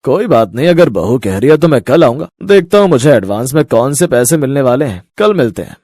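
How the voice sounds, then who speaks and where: A man's voice: medium pitch, very animated, brisk, a little mellow, no echo. A man plays an older landlord in a cartoon family story. He is firm and suspicious, lecturing his tenant. The recording is dry and close. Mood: skeptical. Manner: firm, admonishing. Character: stern, distrustful older landlord. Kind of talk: Hindi cartoon story acting.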